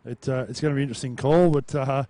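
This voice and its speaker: Aussie male boundary rider, husky with a cold, dark mellow tone, laid-back chatter. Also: medium pitch, racing, no echo. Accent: Australian. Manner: casual, relaxed chat. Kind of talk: football broadcast commentary.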